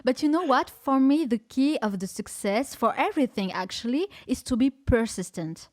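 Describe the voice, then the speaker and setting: A woman's voice: high voice, lively intonation, moderate pace, no echo, studio quality. A woman from France with a French accent, hosting a conversational podcast interview in English as a non-native speaker. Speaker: podcast host.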